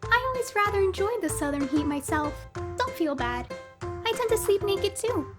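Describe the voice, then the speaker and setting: A woman's voice: very high-pitched, big pitch swings, normal speed. A woman playing a cheeky, forward young farmhand with a girlish voice in an audio drama. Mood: friendly, forward. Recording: music behind the voice.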